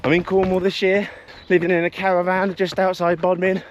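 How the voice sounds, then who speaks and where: A man's voice: high-pitched, monotone, brisk, music in the background. A man in his early thirties talking casually as a vlogger about his run, partly outdoors on an action camera mic. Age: early 30s. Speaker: vlogger, amateur long-distance runner. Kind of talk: casual running vlog talk and narration to camera. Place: outdoors on a coastal trail for much of it. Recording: outdoor wind and ambient noise.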